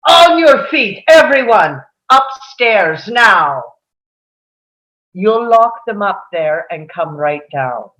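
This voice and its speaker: A woman reading a cold, commanding male terrorist leader at a table read, slow and clipped. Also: medium pitch, very animated, a bit bright, muffled recording.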